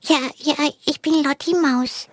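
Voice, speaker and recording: A woman's voice: sits high, steady tone, a little slow, no room sound, studio quality. A woman voicing a timid, chatty little female mouse who stammers nervously in a children's TV puppet play.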